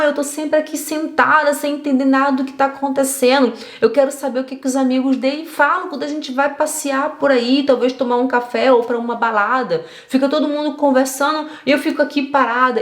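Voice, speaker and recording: A woman's voice: high voice, fairly even intonation, brisk, hardly any pauses. A woman teaching German online, talking straight to the viewer in an earnest, encouraging way. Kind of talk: motivational online lesson. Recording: echoey room.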